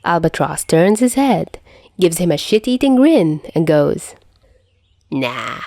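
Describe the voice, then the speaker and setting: A woman reading fan fiction aloud as an amateur narrator, in an expressive, dramatic storytelling style. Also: fairly low pitch, unhurried, no echo, studio quality.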